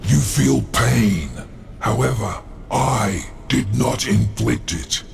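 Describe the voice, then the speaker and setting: A man voicing a grave, menacing ancient overseer spirit in a game cutscene, with a gravelly, slow and portentous delivery. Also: medium pitch, heavy background noise. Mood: menacing, intense. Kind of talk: video game cutscene acting.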